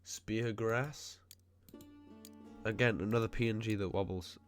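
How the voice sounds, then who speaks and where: A man's voice: lowish voice, steady tone, faint music behind. A man with a cold and a blocked, nasal voice, chatting casually while commenting on a game he is playing. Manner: nitpicking, chatty, quick asides. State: stuffy nose from a cold. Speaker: gaming video host.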